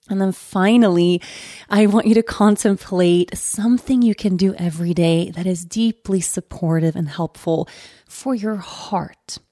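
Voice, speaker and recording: A young adult woman hosting a podcast, gently guiding listeners through a self-care reflection with a slow, soft manner that drops into whispers. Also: lowish voice, creaky, no echo, studio quality. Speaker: podcast host.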